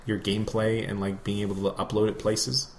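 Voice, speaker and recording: A man's voice: lowish voice, little pitch movement, a little fast. A man co-hosting a casual video game podcast, talking in a wry, joking, rambling way. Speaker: podcast co-host. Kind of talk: casual chat about video games.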